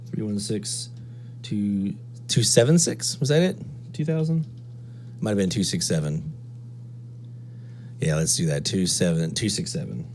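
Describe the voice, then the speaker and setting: A man with a low voice presents a casual hands-on tutorial. He thinks aloud as he works through each step. A faint hum sits behind his voice. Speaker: presenter of a radio DXing tutorial. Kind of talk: casual screen-walkthrough tutorial.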